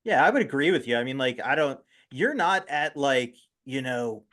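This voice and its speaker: A man hosting a casual podcast interview, talking haltingly with many fillers and restarts. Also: mid-range voice, animated, a bit bright. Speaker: podcast host.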